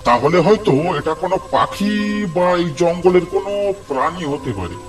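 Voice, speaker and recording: Young village fisherman played by a man, high voice, cocky, argumentative, easily spooked, horror-comedy cartoon. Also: expressive, fairly quick, faint music behind. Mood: uneasy. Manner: argumentative, grumbling. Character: stubborn, hot-headed young village fisherman, cocky yet jumpy.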